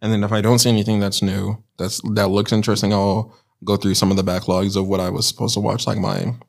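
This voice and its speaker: A man's voice: lowish voice, little pitch movement, quick pace, vocal fry. A man in casual podcast chat, laid-back and explaining at length with frequent fillers. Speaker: podcast co-host.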